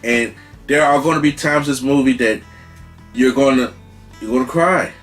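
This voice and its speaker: A man's voice: mid-range voice, normal speed, fairly bright tone, soft background music. A man hosting a casual movie review, rambling and emphatic, with slang and the odd curse. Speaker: movie reviewer, channel host.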